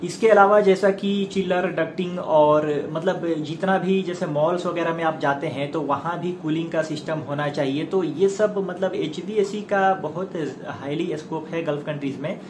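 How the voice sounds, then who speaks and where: A man, giving an informal explainer to camera in halting phrases with frequent filler pauses. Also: a little high. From India. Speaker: YouTube presenter giving career advice. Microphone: clip-on lapel mic. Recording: echoey room with loud background noise.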